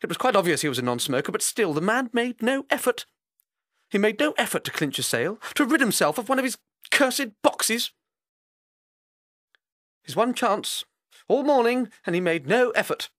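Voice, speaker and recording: Man acting a high-strung indignant gentleman, theatrical outrage. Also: very animated, normal speed, no echo, studio quality. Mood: indignant, outraged. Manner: fussy, clipped, outraged. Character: indignant, haughty man obsessed with a suspicious match seller. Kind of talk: theatrical dramatic monologue.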